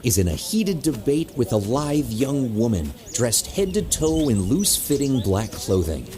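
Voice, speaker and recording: A man's voice: mid-range voice, very animated, measured pace, dark tone, soft background music. A man reading scripted scene narration for an audio drama.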